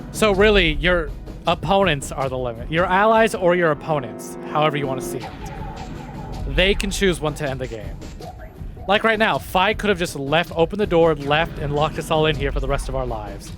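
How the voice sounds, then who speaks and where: A man with a fairly high voice plays through a visual novel on stream. He reads dialogue theatrically and jokes along, with loud music behind him. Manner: playful, joking, theatrical when reading. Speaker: game streamer. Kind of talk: live visual novel playthrough, reading dialogue aloud and commenting.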